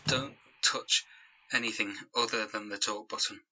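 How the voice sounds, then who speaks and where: A man's voice: fairly low pitch, fairly even intonation, normal speed, pushed and bright, slight background noise, low-quality recording. A man acting an anxious, sceptical young man in a naturalistic audio drama. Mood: instructing.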